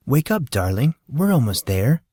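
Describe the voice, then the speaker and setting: A woman's voice: extremely low pitch, sing-song, moderate pace, dark tone, husky, dry recording, studio quality, heavily acted. A man voicing a cheerful, doting adult woman who teases and reassures a teenager in an audiobook. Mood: gentle. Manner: affectionate, teasing, upbeat.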